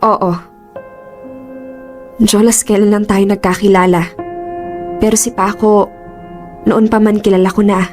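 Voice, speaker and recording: A woman's voice: medium pitch, flat delivery, a little slow, long pauses, darkish tone, faint music behind, lightly acted. A woman with a Filipino accent acting a torn, defensive young office worker in a radio drama. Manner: defensive, emotional.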